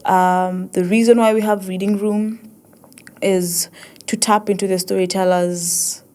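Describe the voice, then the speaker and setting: A young woman with an East African accent, talking casually and reflectively as a host and poet, expressive and often repeating herself as she searches for words. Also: medium pitch, a little slow. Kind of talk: casual reflective monologue with code-switching.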